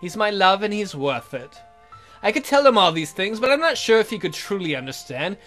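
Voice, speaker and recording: A man's voice: high-pitched, sing-song, brisk, a bit bright, soft background music. A man voicing a lovesick, miserable young woman who narrates her romance melodramatically in a visual novel. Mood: wistful, devoted. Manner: melodramatic, pining.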